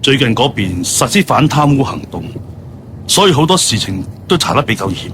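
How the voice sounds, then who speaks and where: A man's voice: mid-range voice, big pitch swings, normal speed, noisy background, lightly acted. An actor playing a worldly, doting middle-aged businessman father in a radio drama. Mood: concerned, matter-of-fact. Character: worldly, open-minded businessman father, doting on his daughter. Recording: studio radio drama with music bed.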